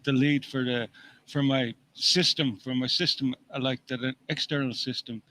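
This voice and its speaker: A man's voice: medium pitch, steady tone, normal speed, sharp tone, a little hiss or hum. A man co-hosting an online show, explaining his sound setup in a rambling, laughing way over a computer mic. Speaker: show co-host. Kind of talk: casual chat over an online call. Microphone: computer mic with headphones.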